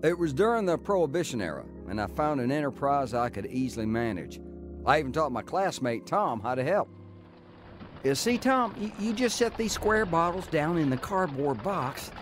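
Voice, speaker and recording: A man's voice: a little high, big pitch swings, moderate pace, music in the background. A man playing a cocky young criminal in a radio drama, speaking in a brash, slangy way. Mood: cocky, confiding. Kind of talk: old-time radio drama acting.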